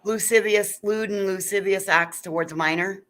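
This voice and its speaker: A woman's voice: mid-range voice, fairly even intonation, moderate pace, fairly bright tone. A woman talking casually in a panel discussion.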